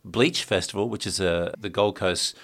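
A man with a very low voice hosts an interview. He is upbeat and leads his guest with prompting questions like a seasoned interviewer. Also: normal speed, vocal fry, a bit bright.

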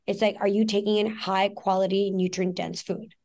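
A woman's voice: mid-range voice, flat delivery, normal speed, a little mellow. A woman in her forties who grew up in Pakistan, talking frankly and at length as a podcast guest, with plenty of fillers. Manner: frank, talks in long runs with fillers. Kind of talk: candid conversational interview.

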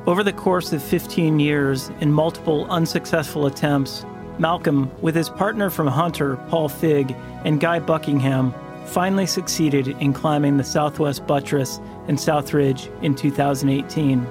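A man hosting a podcast, narrating a scripted introduction in a measured, deliberate way. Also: fairly high pitch, fairly even intonation. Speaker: podcast host. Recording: music bed under narration.

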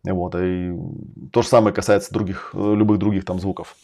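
A man talks quickly and insistently in a low, mellow voice. He hosts a casual live-stream monologue, making blunt points and dropping swear words. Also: level tone. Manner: emphatic, categorical lecturing with frequent swearing. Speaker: host and language-learning coach.

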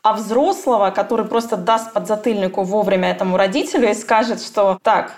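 A woman psychologist, a podcast guest, explaining calmly in a lecturing way with frequent "да" tags. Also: medium pitch, a little fast. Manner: lecturing, calm, lists points with frequent "да".

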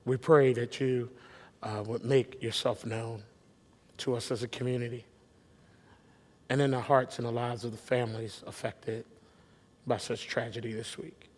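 A man preaching a sermon as pastor, halting and reflective with many restarts, his voice a little worn. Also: medium pitch, fairly even intonation. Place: church sanctuary. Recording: long hall reverb.

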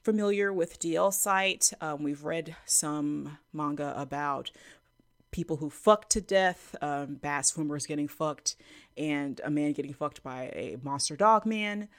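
A woman with a low voice leads a casual podcast chat, recorded close and dry. Her hosting is irreverent, with crude humor dropped into plain conversation. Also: measured pace, no echo. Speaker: podcast host leading the discussion.

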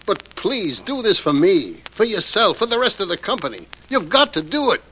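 Harried theater manager, urgent, insistent, high bright voice, radio melodrama, slightly noisy old recording. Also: male voice, very animated, normal speed, full character voice. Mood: pleading. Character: harried, pleading male theater company manager. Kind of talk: 1940s-50s radio drama acting.

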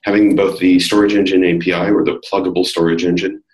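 A man with a slightly hoarse voice, a guest on a podcast, explaining technical work at an easy pace with many fillers. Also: low-pitched, level tone, fast, darkish tone, roomy sound. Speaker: guest, developer advocate. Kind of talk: casual technical interview.

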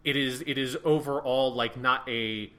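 A man's voice: mid-range voice, fairly even intonation, a little slow, a bit bright. A man co-hosting a casual review discussion, rambling loosely and swearing freely. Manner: rambling, many fillers and restarts, swears casually. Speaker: podcast co-host.

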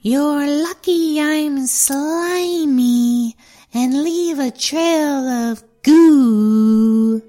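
A woman's voice: high-pitched, extremely slow, mellow, faint music behind. A woman voicing a boastful, slimy snail in a children's story, smug and drawn out.

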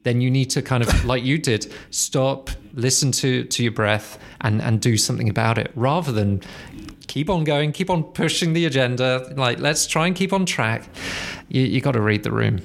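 A man's voice: mid-range voice, normal speed, gravelly. Silky-voiced male podcast host. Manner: relaxed, friendly, with frequent restarts. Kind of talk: casual interview chat.

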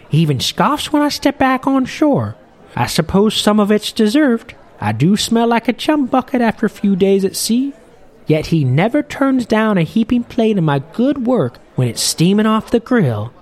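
A man's voice: high voice, sing-song, normal speed, darkish tone, pitched above the performer's own voice. A man voicing a short, jolly old fishing boat captain who talks in a chatty, boisterous, lilting way.

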